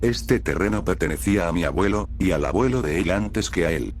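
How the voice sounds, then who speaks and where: A man's voice: deep voice, expressive, brisk, slight background noise. A man voicing a stony, indifferent middle-aged mountain man in a horror story, speaking in terse, folksy phrases. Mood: stony warning. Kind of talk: acted dialogue in horror storytelling.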